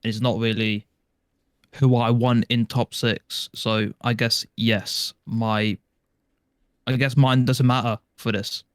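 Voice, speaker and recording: A man's voice: medium pitch, flat delivery, takes it slowly, smooth, no room sound, studio quality. A man co-hosting a podcast, giving his views in casual chat. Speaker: podcast co-host.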